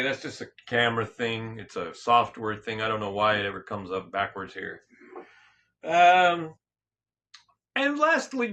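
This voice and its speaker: A man hosting a casual sports talk show, slightly hoarse, rambling and joking with frequent restarts and self-corrections. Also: medium pitch, sharp tone, highs cut off. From California. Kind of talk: casual solo sports and politics chat.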